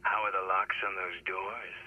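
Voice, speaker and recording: A man's voice: low-pitched, little pitch movement, normal speed, breathy edge. A man playing a taunting, threatening anonymous caller over the telephone in a video game. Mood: menacing. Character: menacing anonymous male phone stalker. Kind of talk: video game villain acting. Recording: noisy phone call.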